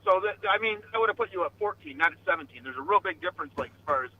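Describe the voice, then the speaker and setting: Fast-talking fifties male caller. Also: high voice, animated. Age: 50s. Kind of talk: call-in phone conversation. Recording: dull lo-fi phone call with faint noise.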